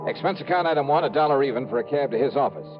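A wisecracking American investigator played by a man from a fifties radio drama, breezy and money-minded. His pitch swings freely at a middle height. The sound is muffled old radio with clear music. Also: animated, very fast, close to an everyday voice. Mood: dry, wry narrating. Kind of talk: 1950s radio drama acting and first-person narration.

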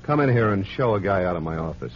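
A man's voice: deep voice, animated, fast talker. A man playing a tough, curt, dismissive nightclub owner in a 1940s radio crime drama. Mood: hostile. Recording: muffled, noisy old radio recording.

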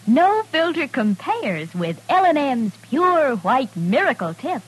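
A woman's voice: high voice, very animated, takes it slowly. A woman reading a vintage radio advertisement in a sales announcer's style. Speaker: commercial announcer.

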